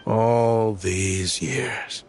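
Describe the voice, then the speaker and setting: An actor playing a grim, remorseful middle-aged man in a video game, with a low gravelly voice and a heavy, deliberate delivery. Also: slight background noise. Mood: cold, menacing. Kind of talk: dramatic video game voice acting.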